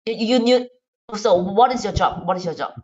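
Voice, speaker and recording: Chinese lady chatting casually, chinese accent, halting, repeats herself, medium pitch, kinda dull lofi sound. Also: lively intonation, a little mellow. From China. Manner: halting, repeats words and phrases. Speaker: medical device regulatory consultant. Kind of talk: casual language-practice chat. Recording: dull lo-fi online call audio.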